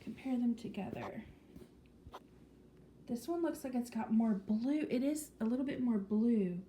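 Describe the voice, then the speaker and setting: A woman's voice: on the high side, lively intonation, a little slow, mellow. A woman vlogger chatting casually and rambling with many ums and restarts, sometimes dropping to a whisper. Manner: drops to a whisper at times. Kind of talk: casual vlog chat. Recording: echoey room with faint noise.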